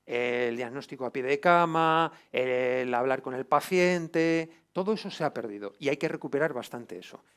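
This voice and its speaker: A man's voice: mid-range voice, normal speed, hardly any pauses, a bit bright, clean tone. A man with a Castilian accent from Spain lecturing informally, with colloquial emphasis and frequent tag questions to the group. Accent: Castilian Spanish. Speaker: lecturer, doctor.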